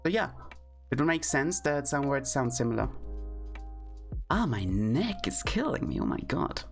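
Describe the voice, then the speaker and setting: Woman, extremely low voice, casual streamer lesson, thinking aloud, faint background music. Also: very animated, moderate pace. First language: German. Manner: thinking aloud, frequent fillers and self-corrections. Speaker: streamer teaching Esperanto.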